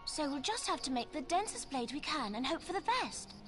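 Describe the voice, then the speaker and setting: A very high, lively voice of an eager young woman scholar in a video game. She is bubbly and impulsive, with music behind her. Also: brisk. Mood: excited, eager. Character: excitable, forgetful, eager young female scholar. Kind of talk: video game cutscene acting.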